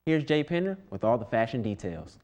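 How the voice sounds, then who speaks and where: A young man anchoring a student newscast with steady, scripted delivery. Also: medium pitch, brisk, highs cut off. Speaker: news anchor.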